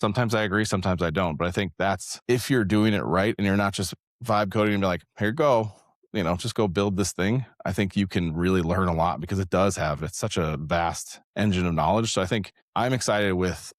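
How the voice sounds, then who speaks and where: A man with a deep voice talks casually as an interview guest. He rambles a little, reworking his phrases as he thinks aloud. The sound is dry, with very little room in it. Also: no echo. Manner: rambling, conversational, thinks aloud with repeated rephrasing. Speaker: interview guest, software developer and engineering leader.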